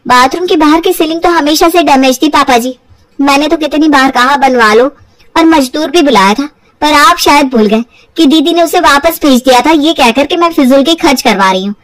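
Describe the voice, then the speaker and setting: A woman voicing a caring, slightly put-upon young daughter-in-law, earnest and expressive, in an acted storybook. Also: sits high, moderate pace, no echo, low-quality recording. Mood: aggrieved. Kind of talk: acted Hindi audio storybook.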